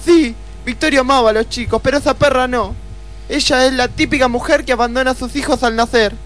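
A man's voice: very high voice, fairly even intonation, a little fast, fairly bright tone, soft background music. A man with an Argentine accent voicing a young, haughty, scheming woman client in a comic noir radio play. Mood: bitter. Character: young, scheming, spiteful femme fatale client, female.

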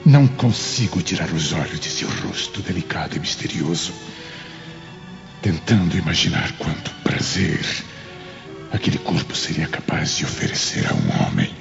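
An actor playing a lustful, evasive middle-aged Roman governor in a theatrical, brooding radio drama style. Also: male voice, rumbling low, big pitch swings, normal speed, creaky, full character voice. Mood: brooding desire. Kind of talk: dubbed radio drama acting. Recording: muffled audio under prominent music.

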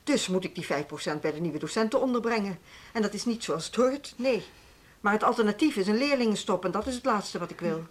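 A woman with a Netherlandic Dutch accent playing a firm, put-upon music school head in a radio drama, brisk and argumentative. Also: medium pitch, animated, lightly acted. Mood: defensive. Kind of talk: naturalistic radio play dialogue.

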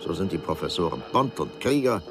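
An actor playing an indignant, pompous critic, speaking with deliberate formality in a radio drama. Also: male voice, low-pitched, very animated, music in the background.

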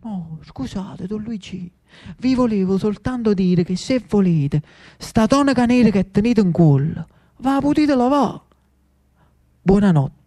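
A man playing a naive, excitable, cheeky young Neapolitan commoner in a theatrical monologue. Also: sits high, a little slow, pitched above the performer's own voice.

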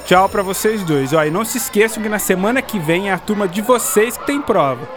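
A man's voice: high voice, animated, fairly quick, over music. A man playing a kindly, philosophical literature teacher in a radio drama. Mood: friendly, busy. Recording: noisy school ambience and crowd behind.

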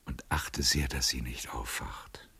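An actor playing a frail, weary old nobleman in a poetic radio drama, tender and melancholy, with a breathy, gravelly voice and a slow, hushed, solemn delivery. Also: very deep voice, steady tone, whispered, full character voice. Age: older.

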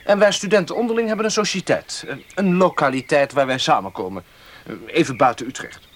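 An actor playing a persuasive young gentleman student in a radio play, coaxing and condescending, with hesitant pauses. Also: on the high side, lively intonation. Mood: persuasive, scheming. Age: young adult. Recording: faintly noisy old radio play recording.

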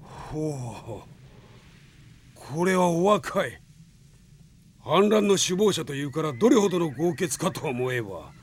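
An actor playing an arrogant, sneering army captain and former knight in a Japanese radio drama, haughty and mockingly polite. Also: male voice, fairly high pitch, sing-song, takes it slowly, long pauses, a little hiss or hum.

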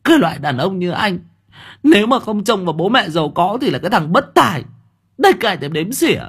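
A man putting on a high voice for a defiant, sharp-tongued young wife. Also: lively intonation, slow pace, husky, exaggerated voice. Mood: scornful. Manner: shrill, stammering then railing.

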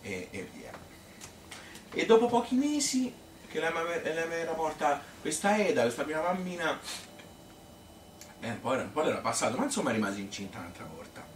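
Male actor as old grandmother, Tuscan accent, colloquial, halting, rambling theatre monologue, distant, faint noise. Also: a little high, very animated, voice pushed up. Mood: halting, reminiscing. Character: chatty, rambling old Tuscan grandmother telling her life story. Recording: roomy, noisy, distant stage recording.

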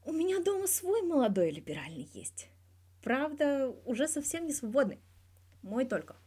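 A woman's voice: high-pitched, sing-song, moderate pace, mellow, voice pushed up. A woman voicing a candid, wry young male star athlete giving a guarded but blunt interview in a story. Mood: fond. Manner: guarded yet blunt, dryly teasing, swears freely.

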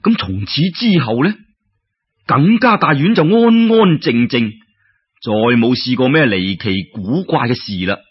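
A man's voice: mid-range voice, husky. A man with a Cantonese accent telling a serial story in a colloquial, dramatic way full of exclamations. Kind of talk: animated traditional serial storytelling. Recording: dull lo-fi radio-like recording.

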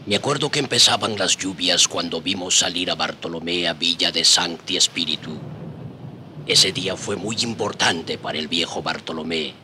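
A man's voice: low voice, normal speed, pushed and bright, slight background noise. An actor playing an eyewitness storyteller, narrating his memories for a radio drama. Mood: reminiscent. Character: reminiscing storyteller, an eyewitness of colonial Cuba.

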